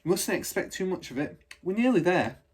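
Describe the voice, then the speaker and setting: A man voicing a gentle, reserved woman schoolteacher in a storybook, speaking in a soft, measured way. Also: high voice, very animated, slightly rough, pitched above the performer's own voice, heavily acted.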